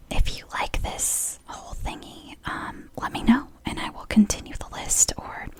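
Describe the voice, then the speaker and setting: Whispering woman reading to soothe. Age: 30s. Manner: whispering, chatty asides and apologies. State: sore throat from whispering. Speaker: ASMR creator. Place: home.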